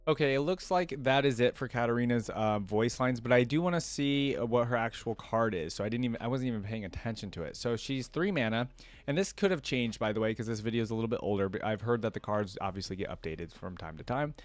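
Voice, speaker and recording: A man's voice: medium pitch, fast, no echo. Casual guy doing reaction commentary, mid voice, real dry close mic. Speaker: reaction video host.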